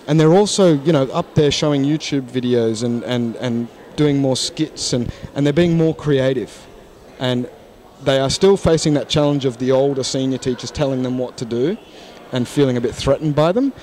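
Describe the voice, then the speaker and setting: A man's voice: mid-range voice, normal speed, darkish tone. Frank man at a conference. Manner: frank, thoughtful, many restarts. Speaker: interview guest, English teacher. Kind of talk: casual interview. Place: conference hall lounge, indoors. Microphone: handheld mic.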